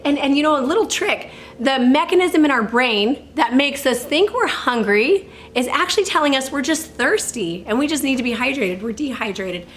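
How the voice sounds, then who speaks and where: A woman in her thirties, a fitness coach giving casual tips to the camera, upbeat and chatty, with a slightly hoarse voice from allergies. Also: sits high, lively intonation, moderate pace, few pauses, a bit bright, a little hiss or hum. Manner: upbeat and chatty, with laughs and self-corrections.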